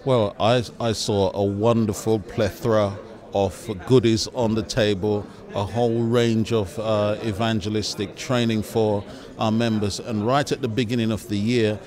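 A middle-aged male pastor giving measured, halting interview answers into a handheld microphone in a large church hall. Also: fairly low pitch, steady tone, darkish tone.

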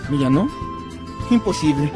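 A man's voice: fairly high pitch, animated, moderate pace, close to an everyday voice. A man with a Latin American Spanish accent voicing an earnest, oblivious teenage schoolboy in an anime fandub. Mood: matter-of-fact. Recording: music behind the voice.

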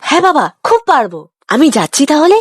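A woman's voice: high-pitched, big pitch swings, fast talker, dry recording, clean studio sound. A woman voicing an earnest, sensitive, clumsy village boy in a moral cartoon, with a childlike manner. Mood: eager. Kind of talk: Bengali moral cartoon dialogue.

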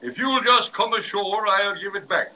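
A man's voice: high voice, moderate pace, bright, forceful tone, highs cut off, a little hiss or hum. A man playing a polite, jolly toy policeman with an Irish accent and a folksy, courteous manner in a 1930s children's radio serial. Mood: obliging.

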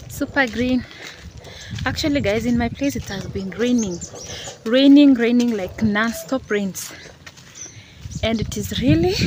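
Young woman, Kenyan accent, earnest, repetitive, phone at arm's length, outdoors in rural greenery, noisy background. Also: a little high. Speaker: vlogger. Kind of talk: selfie vlog to camera. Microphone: phone held at arm's length. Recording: outdoor phone recording with clear background noise.

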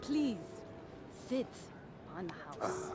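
An actress playing an effusive, affectionate restaurant woman in lively video game cutscene dialogue. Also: lowish voice, very slow, heavily acted. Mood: excited, affectionate. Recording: loud music behind dialogue.

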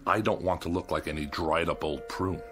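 Man acting a very deep-voiced, wary skeptic. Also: music in the background, natural voice. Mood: tempted, bargaining. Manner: skeptical, deliberate, questioning. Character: wary, self-absorbed man tempted by immortality. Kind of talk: radio drama acting.

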